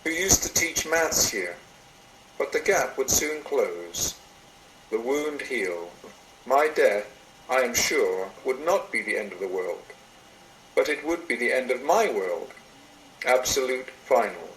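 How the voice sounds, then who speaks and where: A man reads a sermon-like meditation aloud in a measured, solemn way with deliberate phrasing, recorded into a computer in a room. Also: mid-range voice, sing-song, a bit bright. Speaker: reader of a meditation written by another. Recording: roomy, noisy amateur computer recording.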